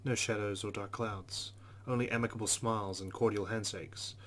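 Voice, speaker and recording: A man's voice: lowish voice, fairly even intonation, normal speed, a bit bright, slight background noise. A man hosting a let's play, narrating a visual novel aloud and adding his own asides.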